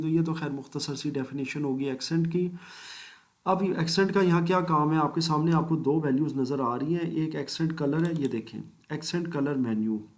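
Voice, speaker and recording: A man teaching a screen-recorded software tutorial, walking through each step methodically with polite asides and English phrases mixed in. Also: fairly high pitch. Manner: mixes English phrases into speech. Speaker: computer course instructor.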